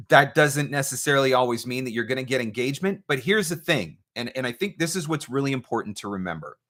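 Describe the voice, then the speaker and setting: A man hosting a live question-and-answer advice session, speaking as a coach in an emphatic, deliberate way and repeating his key points. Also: mid-range voice, pushed and bright. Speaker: host, voice-over marketing coach. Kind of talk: live Q&A advice talk, reading out viewer questions.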